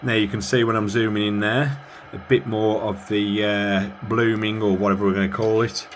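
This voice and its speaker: Male hobbyist presenter, lowish pitch, informal rambling demo delivery, thinking aloud, slight background noise on the recording. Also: flat delivery, moderate pace. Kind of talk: casual hands-on gear demonstration.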